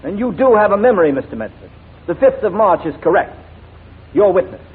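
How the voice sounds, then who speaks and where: An actor playing a forceful, indignant Crown prosecutor with formal, emphatic speech in a 1940s radio courtroom drama. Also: male voice, high voice, sing-song, brisk, husky, heavily acted. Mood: cutting, controlled. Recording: dull, hissy 1940s radio broadcast.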